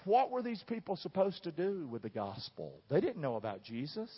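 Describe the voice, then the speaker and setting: A man's voice: high-pitched, huge pitch swings, normal speed, slightly rough. A man with a Southern American accent preaching a sermon in an emphatic, folksy way, putting questions to his congregation. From southern United States. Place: large church room. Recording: dull, reverberant large hall sound.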